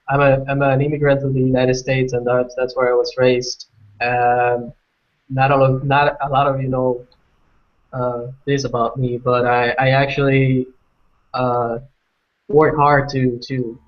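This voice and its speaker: Flat-voiced man on a video call. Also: mid-range voice. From Colombia. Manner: halting, many restarts and fillers. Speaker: panelist. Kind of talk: personal story on a webinar. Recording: dull lo-fi video call audio.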